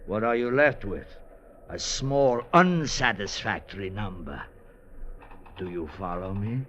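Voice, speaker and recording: A man's voice: fairly low pitch, lively intonation, unhurried, crowd noise. An actor playing a suave, scheming villain in an old radio drama, speaking with oily, formal courtesy and condescension, savoring his words. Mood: smug, savoring. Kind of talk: 1940s-50s American radio drama acting. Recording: dull, noisy vintage radio recording.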